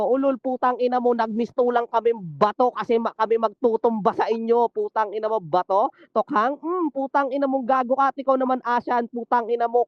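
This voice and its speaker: A man's voice: high voice, no room sound, muffled recording. A man mocking his opponents with endless cursing in a trash-talk rap battle. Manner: cursing, mocking, repetitive insults. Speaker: battle rapper.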